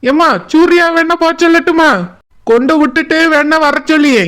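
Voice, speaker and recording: A man's voice: very high voice, steady tone, normal speed, a bit bright. A man voicing a dutiful, practical grown son in a village cartoon, obliging in manner, with a Kanyakumari Tamil accent. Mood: busy, obliging. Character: dutiful, practical adult son organizing transport. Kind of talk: village cartoon drama acting.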